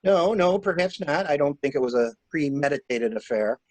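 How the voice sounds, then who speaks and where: A man playing an animated, probing mystery stranger. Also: a little high, moderate pace, no echo, lightly acted. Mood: probing, insistent. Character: probing, coolly insistent male stranger questioning a suspect. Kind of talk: dramatic reading of a murder mystery.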